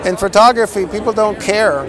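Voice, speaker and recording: A man interviewing a photographer at a trade show, talking in a halting way with many restarts and fillers. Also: sits high. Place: busy trade show floor. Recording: crowd noise behind. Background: crowd chatter.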